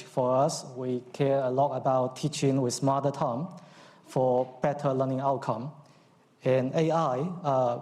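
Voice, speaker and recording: A man with a Cantonese accent giving a formal speech at a conference, measured, with frequent ums and uhs. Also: mid-range voice, dark tone. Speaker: conference speaker. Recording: long hall reverb.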